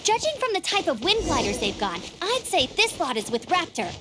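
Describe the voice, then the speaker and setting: A woman's voice: very high-pitched, sing-song, brisk. A woman playing an earnest, decisive young female knight scout in a video game. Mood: analytical.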